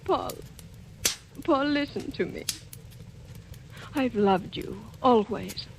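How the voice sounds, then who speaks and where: A woman's voice: on the high side, expressive, takes it slowly. An actress playing a guilt-ridden, tragic woman assassin in a 1940s radio suspense drama, in a melodramatic style. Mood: desperate, pleading. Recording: noisy old radio recording.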